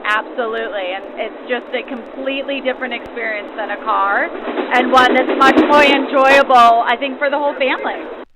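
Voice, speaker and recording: A woman's voice: sits high, fairly even intonation, normal speed. A middle-aged woman, a passenger being interviewed casually, heard through a 1940s radio filter. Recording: lo-fi vintage radio sound, loud train noise.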